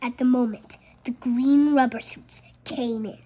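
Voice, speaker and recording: A storyteller reading a picture book aloud in a careful, halting way, with childlike, chatty asides about the pictures. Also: medium pitch, fairly even intonation, warm tone.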